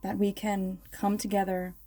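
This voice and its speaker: A woman leading a guided meditation in an ASMR style, speaking in a ceremonial, measured and soothing manner. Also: medium pitch, little pitch movement, warm tone.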